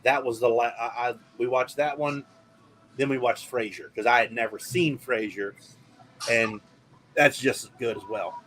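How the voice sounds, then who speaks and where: A man hosting a casual podcast chat, animated and excitable when telling a story. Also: medium pitch, moderate pace, a little hiss or hum, poor audio. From rural area. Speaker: podcast host.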